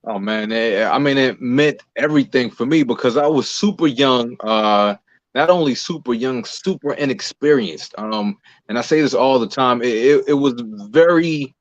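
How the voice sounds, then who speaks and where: A man's voice: mid-range voice, normal speed, no room sound. Easygoing man, dull dry audio. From Newark, New Jersey, United States. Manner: humble, easygoing, chuckles readily. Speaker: interview guest, singer and playwright. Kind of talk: casual interview chat.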